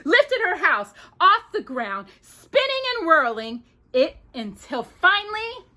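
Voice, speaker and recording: A woman telling a children's story in an expressive, dramatic manner, drawing out key words. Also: extremely high pitch, slow. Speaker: storyteller, mother reading to her daughter. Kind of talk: children's storytelling with bits of song.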